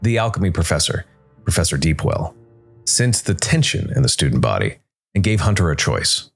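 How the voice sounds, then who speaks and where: Deep guy reading a dark fantasy tale, measured w/ dramatic pauses, faint music behind. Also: very animated, creaky. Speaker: narrator. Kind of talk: scripted dark fantasy story narration. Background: background music.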